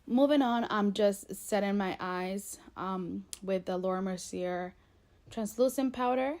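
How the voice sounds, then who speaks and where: A woman's voice: mid-range voice, a little slow, darkish tone. Woman in her twenties, congested, casual tutorial chat, rambling fillers, recorded at home. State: congested from a cold. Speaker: beauty vlogger. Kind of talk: makeup tutorial narration. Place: bedroom at home.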